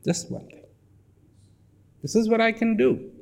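A man's voice: high-pitched, expressive, measured pace, creaky, a little mellow. A man giving a reflective talk to an audience, repeating phrases for emphasis and dropping at times to a near whisper. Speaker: speaker.

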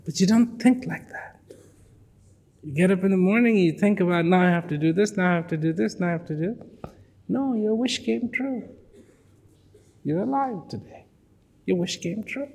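A man's voice: high-pitched, normal speed, creaky, long pauses, darkish tone, husky. A man giving a reflective talk to an audience, repeating phrases for emphasis and dropping at times to a near whisper. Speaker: speaker.

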